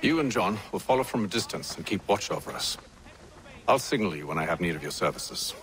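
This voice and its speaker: A man's voice: low voice, lively intonation, a little fast, very noisy. An actor playing a composed male commander who gives clipped, formal orders in a video game cutscene. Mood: commanding. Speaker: leader of a small band of men. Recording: game audio with loud music.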